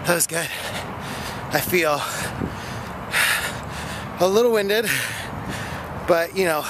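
A man in his thirties talking to a phone held at arm's length while walking in a park, chatty and rambling with many fillers and out of breath. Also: a little high. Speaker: vlogger. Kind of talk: casual walking vlog. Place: outdoor park path. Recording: noisy outdoor phone recording.

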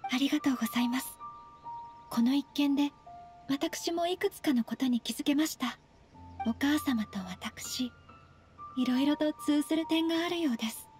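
A woman voicing a polite, earnest, slightly shy young noblewoman in a Japanese anime game, speaking gently and formally. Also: high-pitched, moderate pace, long pauses, lightly acted. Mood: reflective. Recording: soft game music behind.

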